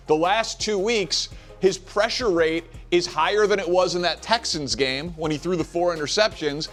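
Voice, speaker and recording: High-voiced, insistent male sports panelist. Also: moderate pace, fairly bright tone, soft background music. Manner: defensive, insistent. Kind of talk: sports debate. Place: TV studio.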